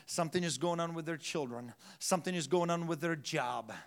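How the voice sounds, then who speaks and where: Pastor guy preaching, high voice, gets loud then quiet, lots of restarts, bit broken english. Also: brisk. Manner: preaching with surges into shouting and quiet drops. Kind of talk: sermon.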